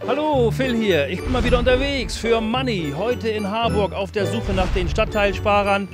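A middle-aged man works as a street reporter. He interviews children in a friendly, encouraging way, outdoors on a city street with music clearly behind him. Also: high voice, moderate pace. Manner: upbeat, encouraging. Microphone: handheld mic with windscreen. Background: music, street and traffic sounds.

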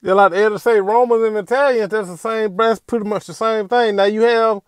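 High-voiced man, rambling commentary. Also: fairly even intonation, fairly quick, clear, resonant tone, no echo, clean studio sound. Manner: rambling, repeats "all right" and "man" as fillers. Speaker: commentator reacting to a street video. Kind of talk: casual spoken commentary over a video.

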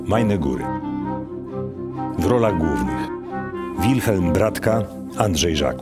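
A man's voice: sits low, lively intonation, slow pace. A man reading scripted credits as the announcer of a radio play. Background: loud music.

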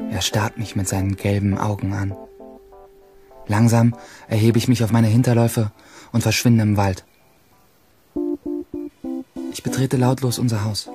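A man's voice: lowish voice, close to an everyday voice. An actor playing a grandiose, self-mocking teenage nerd loner, narrating his own story dramatically in a radio play. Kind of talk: first-person radio play monologue.

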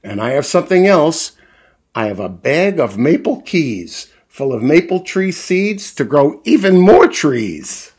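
A man voicing an upbeat, helpful male tree doctor in brisk, cheerful rhyming patter. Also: fairly high pitch, very animated, a little mellow, slightly rough, heavily acted.

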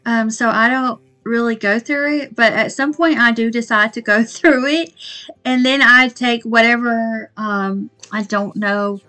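A woman's voice: a little high, steady tone, unhurried, low-quality recording. A woman, chatting casually to camera in her kitchen about homemaking, rambling with fillers. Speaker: homemaking vlogger. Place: small home kitchen, indoors. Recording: soft background music.

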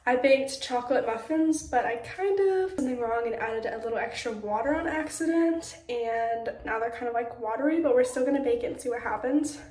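A woman's voice: fairly high pitch, fairly quick, few pauses, darkish tone, reverberant. A young American woman chatting casually to the camera as a vlogger, recorded on a phone held at arm's length. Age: teens to young adult. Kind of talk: casual vlog chat to camera.

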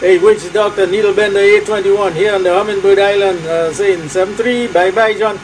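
A man's voice: sits high, fairly even intonation, normal speed, background noise. A man with a Trinidadian accent making hearty, repetitive radio calls as an operator, in patter full of call signs. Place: small cluttered room at home.

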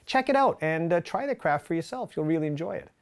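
A man's voice: a little high, lively intonation, fast, smooth. A man in his fifties speaking as a show host in a friendly address to listeners. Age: 50s. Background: music.

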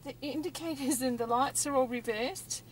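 A middle-aged woman chatting casually as she drives, giggly and given to exclaiming. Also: moderate pace. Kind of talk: casual chat. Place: inside a car. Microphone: handheld camera nearby. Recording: noisy car interior, road rumble.

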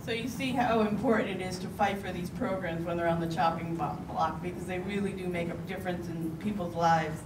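A woman's voice: lowish voice, brisk, hardly any pauses. Middle-aged american lady hosting a panel, sounds a bit far off, echoey noisy hall. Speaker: panel moderator. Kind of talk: moderating a live panel discussion. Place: indoor meeting hall at panel table. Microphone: handheld microphone.

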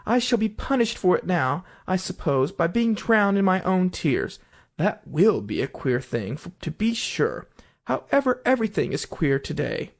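Woman as an eager, high-pitched storybook little girl. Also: big pitch swings, moderate pace. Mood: rueful musing. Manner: earnest, eager, apologetic. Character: polite, eager, chatty little girl, quick to apologise.

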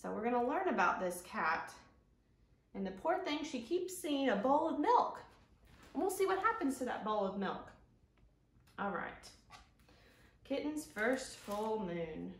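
A woman presents a children's storytime in an expressive, child-directed way. Her voice sits a little high and swings widely in pitch, with key words drawn out. The sound is roomy, picked up by a camera a few feet away in a living room. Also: unhurried, pauses often. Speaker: children's librarian, storytime host. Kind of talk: storytime presentation and picture-book read-aloud for young children. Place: living room at home, indoors.

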